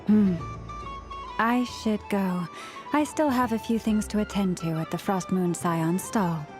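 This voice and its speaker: A woman acts out a courteous young game heroine in a medium-pitched voice. She is composed and polite, with music clearly playing behind her. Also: a little slow, close to an everyday voice. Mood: polite, calm. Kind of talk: video game voice acting.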